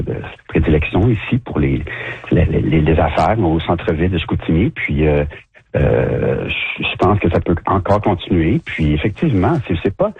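A very deep, husky Quebec French man, a shop owner from Montreal, answers a radio host's questions. He talks haltingly, restarting and filling pauses as he goes. The sound is dry and narrow, like a phone line. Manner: hesitant, many restarts and fillers. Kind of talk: radio interview guest.

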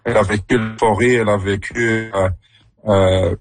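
A man, a guest in an interview, talking haltingly with many fillers. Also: lowish voice, fairly even intonation, slight background noise. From Morocco. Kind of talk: radio interview.

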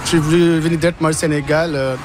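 A man from Senegal with a Senegalese French accent, telling his story in a relaxed, hesitant way. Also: a little high, quick pace, music in the background. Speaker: interviewee.

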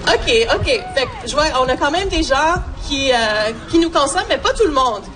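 A woman's voice: high-pitched, expressive, brisk, a bit bright. A woman giving an informal speech to a gathering. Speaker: Canadian public broadcaster representative.

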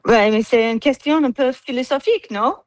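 A woman's voice: high voice, normal speed, dry recording. A woman with an English accent, a cellist speaking French as a guest, hesitating with many fillers and slipping into English words. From United States. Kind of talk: interview answers.

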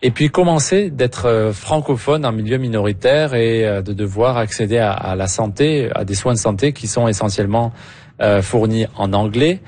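A man interviewing a guest, asking measured, probing follow-up questions. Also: on the low side, darkish tone. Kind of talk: radio interview.

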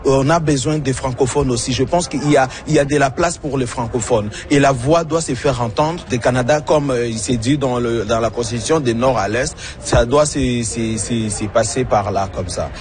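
A man's voice: medium pitch, moderate pace, few pauses, a little mellow, faint music behind. A man, answering interview questions earnestly and repeating words as he searches for them. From Democratic Republic of the Congo. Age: 30s. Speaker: municipal candidate.

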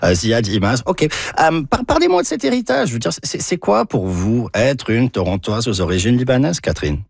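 A man hosting a casual radio interview, chatty and relaxed, with many fillers and restarts. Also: mid-range voice, moderate pace, creaky, dry recording, studio quality. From Lebanese roots. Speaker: radio host.

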